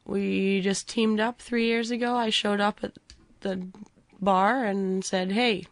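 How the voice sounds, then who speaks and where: A young woman from Canada, a stand-up comedian, chatting casually as a guest with a slightly hoarse voice and frequent laughs. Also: medium pitch, fairly even intonation, slow, dry recording. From Vancouver, Canada. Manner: chatty, laughs often between thoughts. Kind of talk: casual interview chat.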